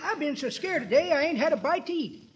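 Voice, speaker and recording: An actor playing a jaunty, folksy old-timer with a thin cracked voice in a 1940s radio comedy. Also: male voice, extremely high pitch, lively intonation, a little fast, noisy background. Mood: folksy, pleading. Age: older. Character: jaunty, folksy old-timer man, fond of younger folk. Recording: old radio recording with studio audience.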